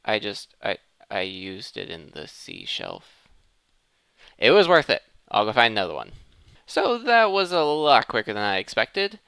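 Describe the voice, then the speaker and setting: A man's voice: fairly low pitch, huge pitch swings, measured pace, gravelly, a bit bright, clear, resonant tone, no room sound. A man hosting a gaming video in casual commentary, thinking aloud and correcting himself as he goes. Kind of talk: casual gameplay commentary of modded Minecraft.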